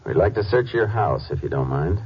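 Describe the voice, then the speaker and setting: An actor playing a calm, firm Texas Ranger, terse and polite, in a 1950s radio drama. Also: male voice, low voice, a little fast, slightly rough, exaggerated voice. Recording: lo-fi old radio, faint hiss.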